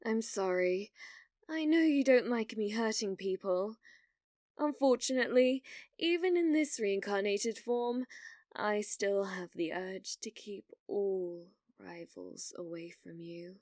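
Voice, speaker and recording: A woman's voice: medium pitch, slow pace, a little mellow, no echo, clean studio sound, close to an everyday voice. A woman acting in an ASMR roleplay as a delusional, possessive young woman who calls herself a reincarnated demon lord, speaking in a theatrical, formal, lovestruck way. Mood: calmly possessive.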